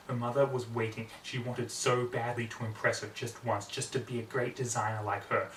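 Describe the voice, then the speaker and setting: Young man narrating, bright voice, roomy recording. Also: medium pitch. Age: young adult. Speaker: story reader. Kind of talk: dramatic fan fiction narration. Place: small home room with computer desk. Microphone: distant camera microphone. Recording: roomy, distant mic, background noise.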